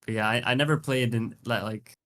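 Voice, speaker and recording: A man's voice: medium pitch, fairly quick, a bit bright. Young male guest from Iceland, laid-back and easygoing, no accent, on a webcam call. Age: young adult. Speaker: college esports player guest. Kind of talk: casual chat.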